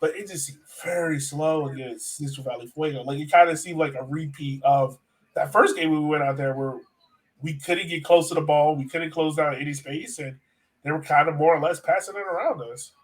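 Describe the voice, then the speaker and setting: A man hosting a sports podcast in a casual, animated, slangy and joking way. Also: fairly high pitch, a little fast, poor audio. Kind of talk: casual chat.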